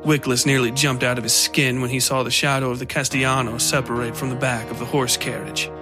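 A man reading scripted dark fiction as the narrator of an audio story. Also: medium pitch, little pitch movement, fairly quick. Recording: music under the voice.

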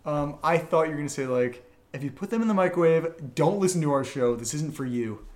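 A man's voice: a little high, animated, fairly quick, a little mellow. A man co-hosting a casual podcast Q&A, joking around and steering the chat back to the questions. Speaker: podcast host reading patron questions. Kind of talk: casual Q&A banter.

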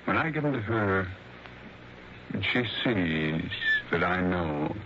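An actor playing a sad, resigned old blind man in a 1960s radio drama, with slow, halting delivery. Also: deep voice, animated, dull audio. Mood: sad resignation. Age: older. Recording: noisy, band-limited old radio recording.

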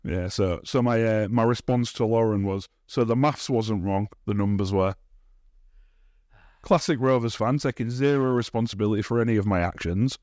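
A man's voice: fairly low pitch, normal speed, darkish tone, dry recording, clean studio sound. A man, co-hosting a podcast, teasing and bantering in casual chat. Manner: teasing, banters and talks over his co-host. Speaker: podcast co-host.

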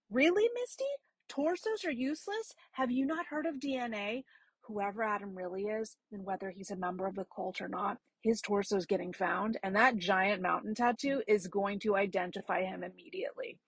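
A woman's voice: on the high side, slightly rough, no room sound, rough recording. A woman guest reader giving a dramatic reading of a listener's email.

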